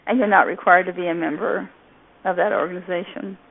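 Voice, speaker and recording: A woman's voice: on the low side, steady tone, brisk, creaky. A woman hosting a group call, asking eager questions and saying okay often. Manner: many okays, eager questions. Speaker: host. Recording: tinny phone line with faint hiss.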